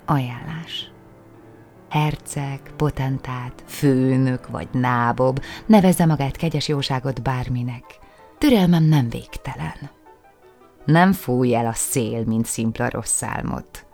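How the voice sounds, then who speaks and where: A person reciting a poem dramatically, in a husky, gravelly, breathy voice, with menacing, deliberate delivery and hushed phrases. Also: mid-range voice, soft background music.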